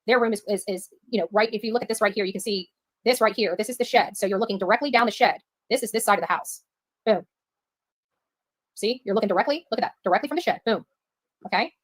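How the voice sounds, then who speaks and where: A woman's voice: medium pitch, fast, no room sound. A woman hosting a rambling livestream and chatting to viewers, emphatic and prone to repeating herself. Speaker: livestream host reading viewer chat. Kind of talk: rambling live-stream chat.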